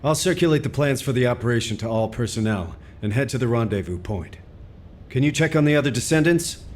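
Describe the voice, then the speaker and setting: An actor playing a calm, supportive male commander giving orders and praise. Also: medium pitch, fairly quick, slight background noise, lightly acted. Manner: calm and encouraging. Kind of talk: game cutscene voice acting.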